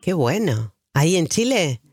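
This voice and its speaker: A woman's voice: sits low, big pitch swings, a little slow, darkish tone, breathy edge, no echo, clean studio sound. A woman hosting a program, speaking. She interviews in a chatty way, with many affirming interjections. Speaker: radio program host. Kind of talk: conversational interview.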